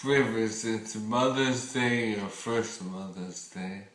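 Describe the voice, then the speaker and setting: A man recording video diaries for his son, speaking slowly and deliberately. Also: lowish voice. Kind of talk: home video diary.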